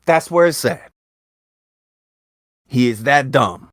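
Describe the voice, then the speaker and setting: Lively, profane podcast host man. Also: medium pitch, slow pace, no echo, studio quality. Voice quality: lively, swings into loud bursts. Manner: profane, jokey, talks with laughs. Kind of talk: casual chat.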